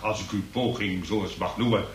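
A man's voice: on the low side, fairly even intonation, a little fast, close to an everyday voice. Man playing a pompous magistrate in an echoey room. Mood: pontificating. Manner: pompous, verbose, archaic legal phrasing. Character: pompous, long-winded male examining magistrate. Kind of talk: comic radio play acting, courtroom oratory. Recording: noisy, echoey room, distant from mic.

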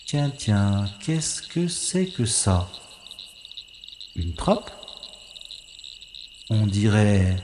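A man playing a cautious, wry infiltrator who thinks aloud in a deliberate, dramatic monologue from an audio science-fiction adventure. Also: on the low side, a little mellow, reverberant, slight background noise. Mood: intrigued. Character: cautious, wry male infiltrator narrating his secret mission.

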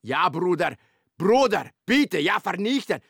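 A man's voice: sits high, sing-song, unhurried, fairly bright tone, no echo, studio quality, heavily acted. A man playing an eager, vengeful local guide, chummy and repetitive in a comic radio play. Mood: chummy, emphatic. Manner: repetitive, emphatic, chummy broken grammar.

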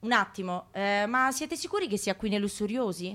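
A woman's voice: medium pitch, animated, fairly quick, a bit bright, natural voice. A woman playing a gushing, helpful receptionist among the damned in a radio comedy sketch. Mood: helpful, chatty. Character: chatty, helpful female receptionist of the damned lustful.

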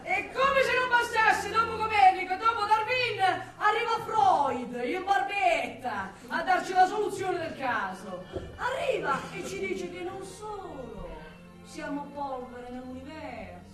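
A woman's voice: extremely high pitch, expressive. An actress playing an unsettling, darkly ironic man with murderous thoughts, in a volatile theatrical radio monologue. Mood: fervent, ironic. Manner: volatile, swinging from murmurs to shouts, drawn-out words. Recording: very echoey, distant, music behind.

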